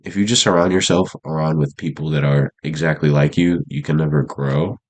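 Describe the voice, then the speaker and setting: A man's voice: a bass voice, fairly even intonation, brisk. A young man with a congested, stuffy nose, rambling in laid-back slang with lots of fillers as he talks unscripted to a camera. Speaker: vlogger. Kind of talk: unscripted casual monologue to camera. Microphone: camera mic.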